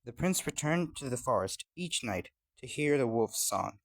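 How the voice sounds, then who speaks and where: A man reading a scripted fairy tale as a storyteller, with an expressive storybook delivery. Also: medium pitch, moderate pace.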